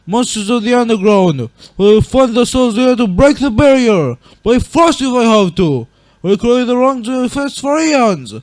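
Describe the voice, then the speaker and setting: A man playing a grieving, regretful monster king and gentle father in a dramatic comic dub, speaking in a solemn, earnest way. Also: very high voice, dry recording.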